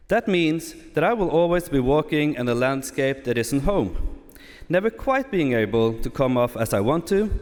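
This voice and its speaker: A Norwegian man, a lay delegate, giving a careful, deliberate conference speech in a language that is not his first, with a Norwegian accent. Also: medium pitch. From Norway. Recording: long reverb in a large hall.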